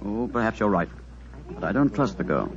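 Snobby upper-class gent, quick lively talk, 1940s radio play. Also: male voice, on the low side, a little hiss or hum. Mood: snobbish. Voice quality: pompous, clipped upper-class manner. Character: Sir Christopher, snobbish English gentleman. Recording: dull lo-fi old radio broadcast.

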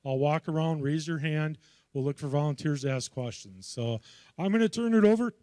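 A man's voice: fairly high pitch, expressive, brisk, no room sound. A middle-aged man moderating an event, introducing the guest admiringly on a handheld mic while losing his voice. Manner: warm, admiring, a few restarts. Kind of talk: introducing a speaker. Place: panel table, conference room. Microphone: handheld microphone.

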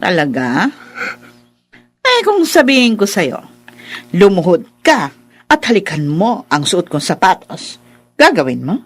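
A haughty middle-aged stepmother in a radio drama. Her delivery swoops widely in pitch as she sneers. She taunts with drawn-out questions, scornful and spiteful. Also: wildly swooping pitch, faint music behind, exaggerated voice. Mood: taunting, domineering.

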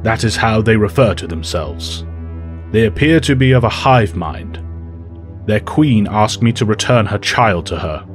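An actor playing a calm, dignified male telepathic professor who speaks in a formal, measured way. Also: lowish voice, soft background music.

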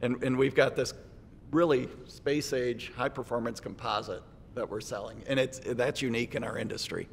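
A man's voice: mid-range voice, steady tone, moderate pace, gravelly, a little hiss or hum. A man on an industry panel, speaking in a wry, light-hearted way. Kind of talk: panel discussion. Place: conference hall stage panel. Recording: reverberant conference hall.

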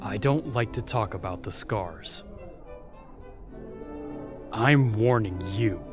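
A man's voice: on the low side, over loud music. A man playing a jaded, defiant young spy at a royal court in an audio roleplay, sardonic and guarded. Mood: defensive, cold. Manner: sardonic, bitter, guarded, with pauses.

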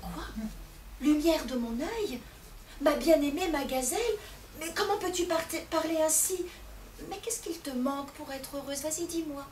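A woman's voice: high-pitched, measured pace, darkish tone. A woman doing a flustered pompous old scholar. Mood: coaxing. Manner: pleading, sputtering, stammering. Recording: echoey room, far from the mic, noisy.

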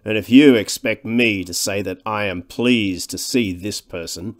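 A man's voice: lowish voice, measured pace, lightly acted. A man voicing a contemptuous, domineering scientist in an audiobook, blunt and scornful. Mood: angry disdain. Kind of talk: audiobook character dialogue.

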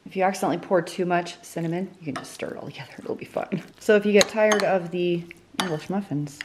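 A woman hosting a casual home cooking vlog, chatty and quick to laugh at herself, in a home kitchen. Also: lowish voice, background noise.

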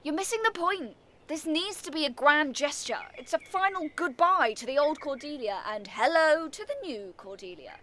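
A sardonic British woman neighbour in an audio comedy play. Her voice sits high and cuts brightly. She is brusque and defiant, heavy on sarcasm. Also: animated, moderate pace, a little hiss or hum.

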